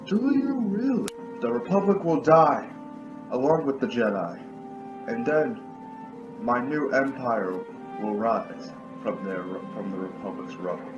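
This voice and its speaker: A man voicing a bitter young male knight turned to the dark side, speaking slowly with contempt. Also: mid-range voice, big pitch swings, highs cut off. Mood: scornful. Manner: slow, contemptuous. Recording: echoey room with music behind.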